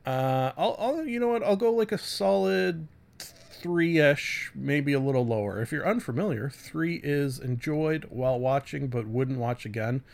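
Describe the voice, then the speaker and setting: A man hosting a podcast solo, giving casual reviews in a halting way, often interrupting and correcting himself. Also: a little high, vocal fry. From Canada. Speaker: podcast host.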